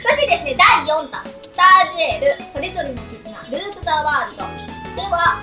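A woman hosting a radio talk show in playful interview chat with a giggly, excitable manner and polite speech. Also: extremely high pitch, fast. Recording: slightly roomy, music underneath. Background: music.

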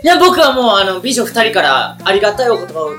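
Animated high female guest, echoey. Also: fast talker, faint music behind. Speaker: radio show guest. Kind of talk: casual chat.

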